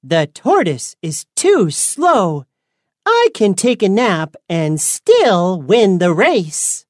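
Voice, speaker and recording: A woman's voice: medium pitch, very animated, drawn-out words, dry recording, clean studio sound, voice pushed down. A woman voicing a boastful, cocky little rabbit for a children's storybook, emphatic and taunting.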